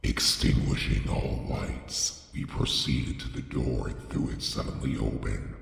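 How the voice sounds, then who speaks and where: A man reading a horror story as a scripted, dramatic narration, with a solemn and deliberate theatrical delivery. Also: rumbling low, gravelly. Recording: echoey, long reverb on the voice.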